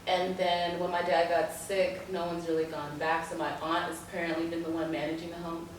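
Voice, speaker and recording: Guest woman from the United States, wry and laughing, lowish voice, far from the mic. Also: little pitch movement, a little fast. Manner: wry, laughs while talking. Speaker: guest, attorney. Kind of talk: casual conversation. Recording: echoey room, distant mic, faint noise.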